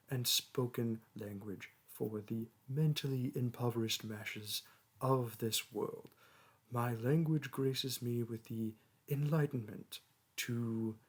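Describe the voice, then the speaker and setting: A haughty young dragon who teaches human students, acted out by a man for a roleplay audio. He has a medium-pitched male voice and speaks in lofty, archaic language. His manner is pompous and disdainful, treating the listener as a lesser creature.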